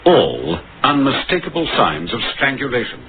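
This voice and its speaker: Grave, expressive police inspector, muffled recording. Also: male voice, medium pitch, moderate pace, slight background noise. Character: measured, dryly grave police inspector narrating his murder case. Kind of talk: 1950s radio crime drama acting and narration. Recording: muffled old radio recording with music.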